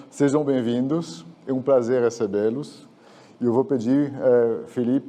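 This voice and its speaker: A man moderating an online panel, formal and measured as he welcomes the guests. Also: medium pitch, mellow. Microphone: video call.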